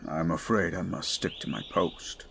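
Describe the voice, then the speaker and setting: A man voicing a towering male goliath bodyguard who is stoic, dutiful and protective, speaking in a formal, measured, commanding way in an audio roleplay. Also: deep voice, vocal fry, a bit bright, a little hiss or hum. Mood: dry, gentle.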